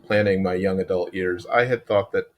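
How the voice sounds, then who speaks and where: A man in his sixties from Chicago with a Chicago accent, a podcast guest telling his story in an unhurried way and often pausing to find words. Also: low voice, steady tone. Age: 60s. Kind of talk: relaxed interview storytelling.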